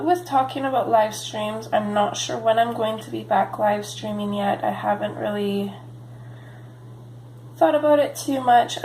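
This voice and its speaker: A sniffly woman with a cold chats her way through a coloring session, rambling and relaxed with plenty of ums. Her voice sits at an ordinary middle pitch. It is caught in an echoey home room with a faint hiss behind it. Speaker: coloring channel host. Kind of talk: casual chatty coloring tutorial.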